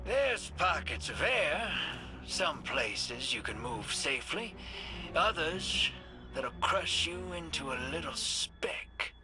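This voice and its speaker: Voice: medium pitch, sharp tone, heavily acted. An actor playing a breezy, cocky rogue in a video game, with a folksy, slangy, playful way of talking. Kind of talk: casual video game character acting.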